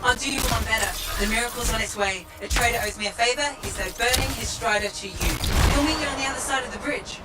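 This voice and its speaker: An actress playing a brisk, encouraging female mission operator in a game cutscene. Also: a little high, close to an everyday voice. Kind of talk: video game cutscene acting. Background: loud music.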